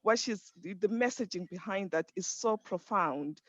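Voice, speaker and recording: A man's voice: high voice, normal speed, fairly bright tone, dry recording, lo-fi sound. A man speaking earnestly on a panel, urging his listeners. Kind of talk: panel discussion.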